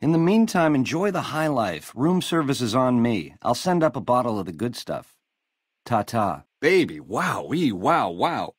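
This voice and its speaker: A smooth talking vegas style gangster for a game, cocky hipster swagger, very lively pitch, dry close sound. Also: male voice, measured pace, dry recording. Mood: persuasive, slick. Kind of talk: video game dialogue in 1950s lounge-lizard slang.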